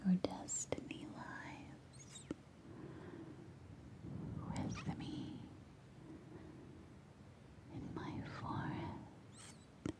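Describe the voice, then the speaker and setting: A woman playing a seductive, possessive forest witch in a soft, breathy ASMR roleplay, speaking soothingly and hypnotically up close to the microphone. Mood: coaxing, seductive. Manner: slow, soothing, hypnotic delivery with long pauses.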